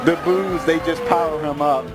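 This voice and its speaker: A man's voice: a little high, steady tone, normal speed. A man giving casual, colloquial color commentary as a reactive esports co-commentator. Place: live tournament venue. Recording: loud event hall, crowd and music. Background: crowd and music.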